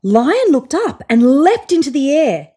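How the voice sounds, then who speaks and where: A woman in her thirties reading a children's picture book aloud on a sofa, with voiced sound effects and hushed whispers. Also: high-pitched, big pitch swings, a little slow. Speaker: storybook reader. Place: indoors on a sofa.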